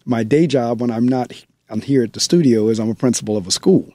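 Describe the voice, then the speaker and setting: A man's voice: medium pitch, brisk, dark tone, no echo. A man hosting a radio book show, chatting casually and speaking straight to his listeners from the studio. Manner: chatty, conversational, addresses listeners directly. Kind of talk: radio interview.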